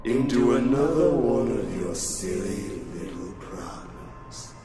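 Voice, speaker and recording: A man's voice: on the low side, lively intonation, takes it slowly. A man voicing a cruel, mocking ghostly tormentor for a horror visual novel, with contemptuous, jeering delivery. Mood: disdainful. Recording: heavy echo, distant, music underneath.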